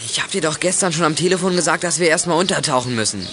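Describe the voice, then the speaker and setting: A condescending, bossy schoolboy played by a male actor in a youth radio play. He talks in brusque slang with a slightly high voice, over clear recording noise. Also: lively intonation, quick pace, lightly acted. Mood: bossy, irritated. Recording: clearly noisy old audio play recording.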